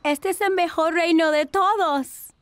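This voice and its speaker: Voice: extremely high pitch, fairly even intonation, unhurried, a bit bright, no room sound. An actor voicing an eager, childlike four-year-old boy in a children's cartoon dub. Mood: bossy, playful. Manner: childlike, earnest.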